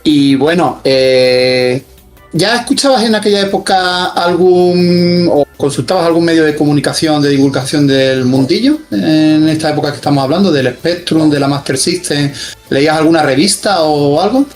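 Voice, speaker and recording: Male co-host, slightly high voice, casual interviewing, soft music behind. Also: normal speed.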